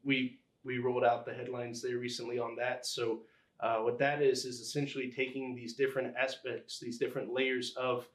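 A man answering interview questions as a podcast guest, explaining things conversationally and haltingly as he pieces thoughts together. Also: mid-range voice, little pitch movement. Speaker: podcast guest, engineering executive. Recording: roomy, echoing sound.

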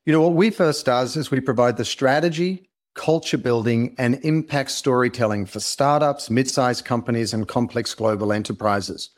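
Podcast guest: middle-aged Australian man, average pitch, earnest and polished storyteller. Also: normal speed, few pauses. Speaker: interview guest, company founder and author.

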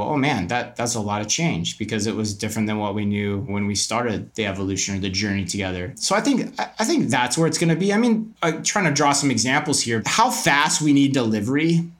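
A man's voice: mid-range voice, a bit bright. A man speaking conversationally as a podcast guest, giving emphatic opinions and posing rhetorical questions as he explains retail business. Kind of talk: conversational interview answers.

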